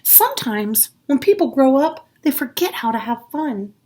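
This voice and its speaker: Woman playing a playful boyish imaginary pal, high, bouncy, eager, exclamatory, storybook style. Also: sing-song, moderate pace, dark tone. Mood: reassuring. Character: playful, upbeat imaginary friend Ted, male, childlike.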